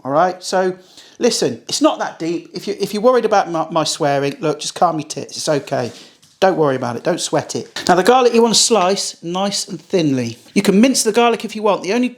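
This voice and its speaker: A man hosting a casual cooking tutorial, chatty and blunt, swearing now and then. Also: high-pitched. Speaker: cooking channel host.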